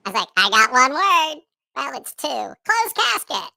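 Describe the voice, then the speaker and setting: A man voicing a creepy, gleefully sinister inner demon through a gravelly voice-changer filter. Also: very high voice, big pitch swings, measured pace, bright, forceful tone, no echo, studio quality, pitched above the performer's own voice, full character voice. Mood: gloating menace.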